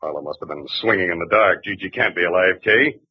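An actor playing a smooth-talking ex-convict bank robber, cajoling and condescending, in 1940s radio acting. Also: male voice, sits low, quick pace. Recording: dull old radio broadcast.

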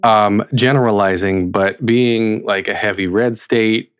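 A man's voice: on the low side, fairly even intonation, measured pace, clean tone. An American man from North Carolina hosting a casual conversational interview, with hesitant, rambling phrasing. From North Carolina, United States. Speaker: interviewer, host.

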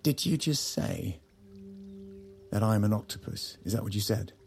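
Actor as gravelly, wounded, sullen husband. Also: male voice, fairly low pitch, moderate pace, a little mellow, slight background noise, natural voice. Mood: hurt, defensive. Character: hurt, defensive husband, sullen in marriage therapy. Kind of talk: naturalistic audio drama acting.

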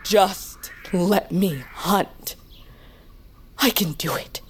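A woman's voice: mid-range voice, very slow, breathy edge. An actress playing a headstrong young huntress, a queen's yearning daughter, in an audio drama, speaking with earnest intensity. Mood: defiant, pleading. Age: young adult. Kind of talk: dramatic audio fiction acting.

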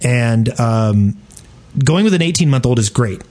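A man's voice: mid-range voice, lively intonation, a little slow, warm tone. A man, a podcast host probably in his thirties, chats casually in a rambling, self-deprecating way about games and his own life. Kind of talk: casual chat about gaming and personal life.

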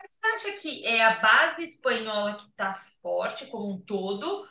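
Brazilian woman hosting a sports podcast, high bright voice, rambles and restarts, echoey room. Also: measured pace. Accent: Brazilian Portuguese. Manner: animated, rambling, thinks aloud with many restarts. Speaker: podcast host. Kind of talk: casual sports chat. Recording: roomy, a bit far from the mic.

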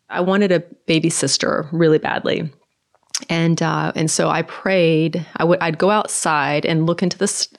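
A middle-aged woman from Norway with a Norwegian accent, soft-spoken and hesitant, telling her personal story in a conversation. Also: deep voice, gravelly. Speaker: guest.

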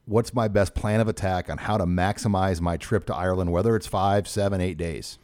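Deep, mellow middle-aged man; enthusiastic sports talk host. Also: fairly even intonation, brisk, no room sound. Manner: enthusiastic, chatty, some stumbles. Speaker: radio show host.

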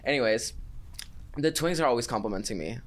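Chilean-born guy on a podcast, campy and jokey, normal pitch. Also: animated, brisk. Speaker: podcast guest. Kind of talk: casual chat.

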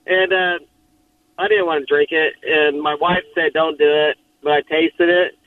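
A man phoning in to a radio show, telling a story casually and haltingly over a muffled call. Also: a little high, steady tone.

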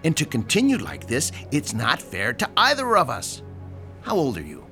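A man's voice: mid-range voice, wildly swooping pitch, normal speed, soft background music, full character voice. An actor playing a pushy, sarcastic older landlord in a radio drama, lecturing his tenant about rent. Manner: sarcastic, lecturing, theatrical swings from shouting to hushed.

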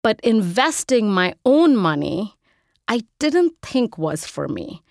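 A woman in her forties from India with an Indian accent, a guest in an interview talking in quick, animated bursts. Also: medium pitch, creaky, no room sound, studio quality. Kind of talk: casual interview conversation.

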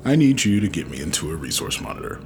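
An actor playing a cocky, menacing outlaw kidnapper chief in a sci-fi audio drama, sardonic and taunting. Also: male voice, sits low, sing-song, quick pace, faint music behind. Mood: cool, controlled.

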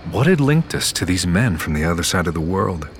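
An actor playing a weary, haunted man who recounts his journey in solemn, measured audio fiction narration. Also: deep voice, sing-song, slight background noise. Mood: grave, wondering. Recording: music under the voice.

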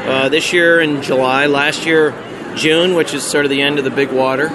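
An American man working in marketing for a fishing lodge, chatting casually in an interview, relaxed, with lots of ums and dry humor. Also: on the high side, moderate pace. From United States. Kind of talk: casual interview at an outdoor event. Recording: noisy outdoor street recording.

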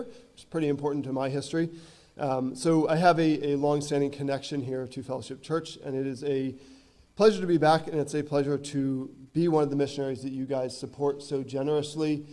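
Hoarse thirties man, missionary addressing a congregation, earnest with ums, warm mellow tone. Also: medium pitch. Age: 30s. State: hoarse from a cold. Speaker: visiting missionary. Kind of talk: church missionary talk. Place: church sanctuary.

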